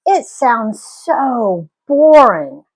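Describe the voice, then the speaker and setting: A woman voicing a whiny, excitable twelve-year-old girl in a read-aloud story. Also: fairly high pitch, drawn-out words, heavily acted, low-quality recording. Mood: whiny, bored. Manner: whining, exclaiming.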